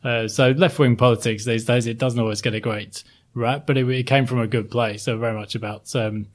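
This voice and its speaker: A middle-aged man from Sheffield with a softened, well-spoken Northern English accent, answering interview questions with many ums and restarts. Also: medium pitch, fairly even intonation, fairly quick. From Sheffield, England. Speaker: guest, former chartered accountant.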